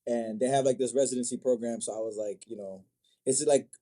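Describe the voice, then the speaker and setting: A man's voice: medium pitch, steady tone, a little fast, mellow. A man from Senegal chats casually as an interview guest, agreeable and slangy, often repeating short affirmations. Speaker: guest, manga creator. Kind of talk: casual chat. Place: own art studio.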